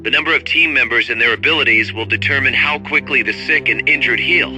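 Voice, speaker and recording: A brisk military right-hand man in a video game. He explains things in a medium-pitched voice, businesslike and helpful with a wry edge, music playing behind him. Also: pushed and bright, low-quality recording. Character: brisk, efficient male military second-in-command, helpful, slightly wry. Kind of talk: video game briefing over radio.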